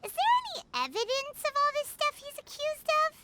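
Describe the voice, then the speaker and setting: A squeaky tiny floating companion. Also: female voice, expressive, a little fast, heavy background noise. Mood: curious. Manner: refers to herself by name, chirpy and inquisitive. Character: tiny floating girl companion, curious and blunt. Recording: game audio with background music.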